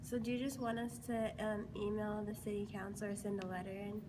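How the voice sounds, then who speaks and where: A woman's voice: medium pitch, level tone, fairly quick, far from the mic. A young woman calling as a student, asking questions hesitantly with many fillers. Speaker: student caller. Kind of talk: phone call asking questions. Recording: reverberant room with clear hiss.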